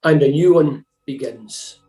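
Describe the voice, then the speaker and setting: Mellow-voiced man reading in an echoey room. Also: fairly high pitch, a little slow, soft background music. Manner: calm, earnest reading. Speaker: devotional reader. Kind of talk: scripted church reflection reading. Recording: roomy echo.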